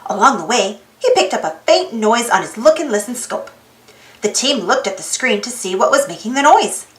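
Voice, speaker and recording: A woman reading a storybook aloud to children in an expressive narrating style, inviting young listeners in. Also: a little high, normal speed, echoey room.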